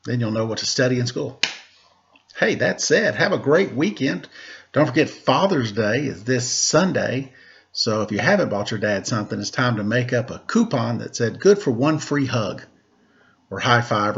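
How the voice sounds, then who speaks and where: A man's voice: mid-range voice, animated, normal speed, creaky, a bit bright. A man speaking as a teacher at a children's story time, with a playful, wry, conversational manner. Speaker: teacher reading aloud to children. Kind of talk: picture-book story time, casual chat. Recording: dull, lo-fi sound.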